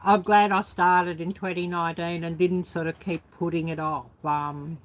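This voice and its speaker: Lower-pitched woman, casual rambling vlogger. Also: little pitch movement, normal speed, rough recording. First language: English. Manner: rambling, colloquial, peppered with "you know" and "sort of". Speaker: YouTube vlogger. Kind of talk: casual, unscripted reminiscing chat.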